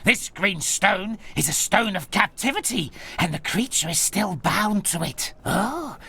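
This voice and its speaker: Impatient know-it-all cartoon gnome, condescending, forceful and gravelly at the edges. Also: male voice, high-pitched, lively intonation, unhurried, full character voice. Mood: smug, confident. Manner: condescending, insistent. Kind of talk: cartoon video game acting.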